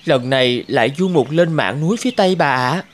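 A man voicing a poor, earnest young herdsman in an animated fairy tale. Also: medium pitch, fairly quick, background noise, natural voice. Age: young adult.

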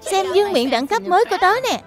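A woman voicing an excitable, friendly little bear cub in a children's cartoon dub. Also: very high-pitched, quick pace. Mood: excited. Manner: eager, exclamatory, childlike. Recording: background music under dialogue.

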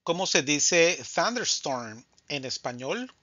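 Slow, deliberate male Spanish teacher. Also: on the high side, sing-song, sharp tone, no echo, muffled recording. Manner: slow, deliberate, says each answer twice. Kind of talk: scripted vocabulary drill.

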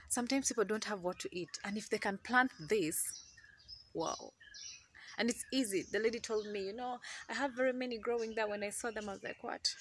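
A young Ugandan woman with a Ugandan accent, chatting excitedly to a phone camera in her outdoor garden. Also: mid-range voice, a little hiss or hum. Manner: excitable, chatty, laughs often. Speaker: gardening vlogger. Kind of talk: casual chat to camera. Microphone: phone camera held close.

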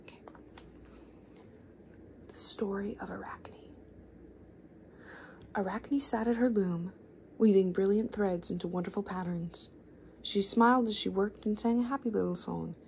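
A young woman speaks softly with a lot of creak, often sinking into a whisper. She reads and chats in a loose, informal ASMR manner. There is a noticeable hiss in the room. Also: medium pitch, a little slow, long pauses. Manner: rambling, informal, gently chaotic asides. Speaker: ASMR creator reading children's books aloud. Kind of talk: soft-spoken ASMR reading and casual chat. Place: small living room, indoors.

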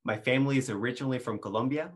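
A man's voice: mid-range voice, very fast. A man from Colorado, an assistant professor, giving a short upbeat self-introduction by webcam from his office. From Colorado, USA. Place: office with bookshelves.

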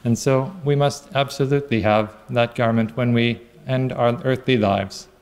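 A man's voice: mid-range voice, steady tone, a little mellow. Man, forties, priest preaching, stop-start with fillers, long church reverb. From England. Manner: halting, repeats words, many "you know" fillers. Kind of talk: sermon. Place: large church sanctuary. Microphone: lectern microphone.

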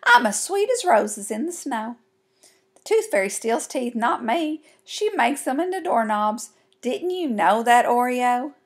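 A woman's voice: a little high, very animated, measured pace. A woman voicing an ornery, teasing young country sister in a children's storybook, snippy and taunting. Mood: sassy denial. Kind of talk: folksy children's storybook reading.

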